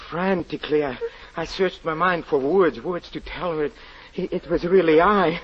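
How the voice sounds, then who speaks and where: An actor playing a haunted, grieving European husband confessing a tragedy, halting, stammering and pleading in theatrical 1940s radio drama style. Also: male voice, fairly high pitch, breathy edge. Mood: frantic, pleading. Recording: muffled, noisy old radio recording.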